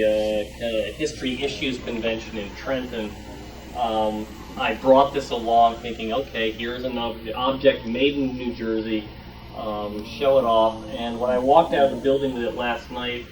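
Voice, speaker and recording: A man's voice: mid-range voice, fairly even intonation, normal speed, far from the mic, low-quality recording. A man speaking informally and telling anecdotes at a show-and-tell. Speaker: club member presenting his collection. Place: club meeting room. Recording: recorded from off the mic.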